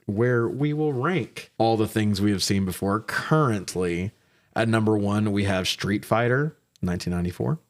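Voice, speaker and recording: Low-pitched man in casual film banter. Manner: informal, mildly sweary. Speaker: podcast co-host reviewing films.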